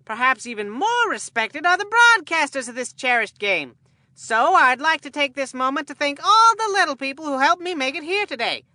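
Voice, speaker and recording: A woman voicing an excitable, food-loving boy baseball commentator in a cartoon game, with a folksy, earnest showman delivery. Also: high voice, normal speed, no echo.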